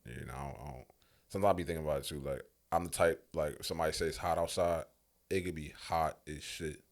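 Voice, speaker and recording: A man with a deep voice bantering casually as a podcast co-host in a slangy, teasing way. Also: quick pace, creaky.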